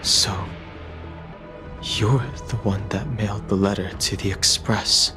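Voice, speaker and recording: A man's voice: lowish voice, fairly even intonation, takes it slowly, over music. A man voicing a guarded, serious game character who speaks in a blunt, restrained way. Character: terse, guarded, serious man questioning someone. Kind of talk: video game voice acting.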